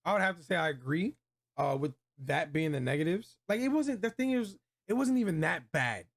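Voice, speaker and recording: A man with a fairly high voice chats as a guest on a review. He is easygoing and agreeable, talking the way friends do. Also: animated, brisk, gravelly. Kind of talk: casual chat review.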